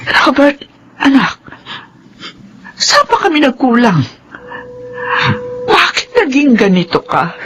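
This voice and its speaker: An anguished middle-aged mother in a Filipino radio drama, full of regret. Her delivery is melodramatic with lively swings of pitch, and faint noise sits behind the voice. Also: takes it slowly, pauses often, dull audio, full character voice. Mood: anguished, tearful. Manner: sorrowful, melodramatic.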